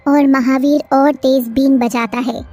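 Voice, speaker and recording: A woman narrates a cartoon story in a steady, even storytelling manner. Her voice sits high and clear. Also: unhurried, faint music behind.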